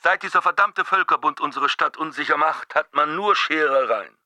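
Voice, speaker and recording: A blunt, grumbling police commissioner in a radio play. His voice is piercingly bright and somewhat high, recorded dry and close. He sounds irritable and gruff. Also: normal speed, no echo. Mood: irritated. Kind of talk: German radio play acting.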